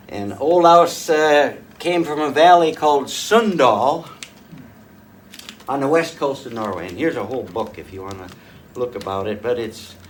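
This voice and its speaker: An older man, a folk fiddler, casually telling family stories to a small audience in a rambling, folksy way with many pauses and restarts. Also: mid-range voice, animated. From rural northwest North Dakota. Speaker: folk fiddler giving a talk. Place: room at a folk fiddlers' gathering. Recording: distant mic, faint room noise.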